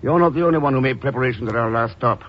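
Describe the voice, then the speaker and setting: An actor playing a calm, dryly sardonic espionage hero with a husky voice in a 1950s radio drama. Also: male voice, medium pitch, rapid-fire, natural voice. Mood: cool, assured. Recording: muffled old radio recording.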